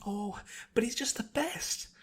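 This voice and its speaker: A man voicing a gentle, tender young female pony with a soft, breathy, hesitant delivery. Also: very high voice, measured pace, voice pushed up, exaggerated voice. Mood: dreamy, shy.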